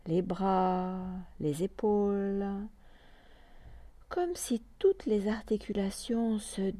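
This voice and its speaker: Woman, meditation guide, very slow pace, mellow soft tone, calm, short phrases with long pauses, dry recording. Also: medium pitch, no echo. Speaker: yoga nidra meditation guide.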